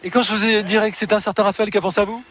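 A man's voice: sits high, flat delivery, racing, a bit bright. A man working as a roving radio reporter, chatting live with people at a town fair. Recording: light background noise at a fair.

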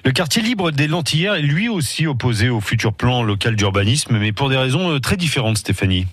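A man's voice: mid-range voice, very animated, brisk, dry recording, studio quality. A man presenting local radio news in a conversational style. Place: radio studio. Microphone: close studio microphone.

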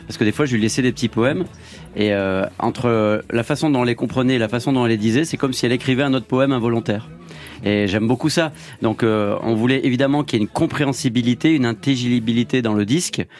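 A man, a musician guest on a radio show, speaking thoughtfully with many pauses. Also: medium pitch, darkish tone. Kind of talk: radio interview chat.

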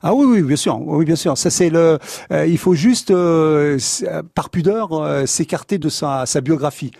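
A man's voice: fairly high pitch, animated, moderate pace, creaky, warm tone, no echo, studio quality. A man from France, a writer guest on a radio show, chatting casually with many restarts and easy laughs. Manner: hesitant, many restarts and fillers; laughs easily, amused.

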